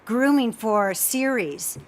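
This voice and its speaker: A woman's voice: fairly high pitch, animated, takes it slowly. A woman hosting a casual podcast interview, chatting in an effusive, gushing way. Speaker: podcast host.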